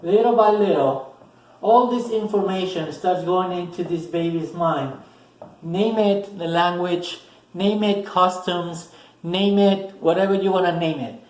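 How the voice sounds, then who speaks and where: A man with a Spanish accent and a fairly high voice talks through a self-help lesson in short, patient phrases, often repeating himself. His first language is Spanish. The room around him is echoey, so his voice rings a little. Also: measured pace, a little mellow. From Spanish-speaking country. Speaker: self-help video host. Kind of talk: explanatory talk to camera.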